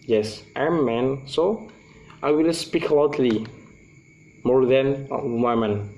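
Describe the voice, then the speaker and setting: A young Indonesian man with a clear Indonesian accent, speaking English as a second language. He talks slowly and haltingly, pausing often to find the next word. His voice sits at an average pitch for a man. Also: darkish tone, low-quality recording. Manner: halting, searching for English words. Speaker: student presenter. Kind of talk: class presentation.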